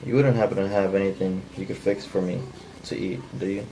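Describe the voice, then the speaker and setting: An amateur man reading a young utility worker, courteous but pushy. The voice is low and mellow with very little inflection, recorded with some room noise in the background. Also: flat delivery, fairly quick, close to an everyday voice, poor audio. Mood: insistent. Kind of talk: flat cold read of a script by a non-actor.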